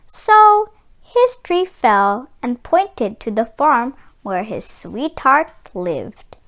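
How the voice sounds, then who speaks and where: High-pitched, animated woman reading a storybook. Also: drawn-out words. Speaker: storyteller reading a children's book aloud. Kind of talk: expressive storybook narration.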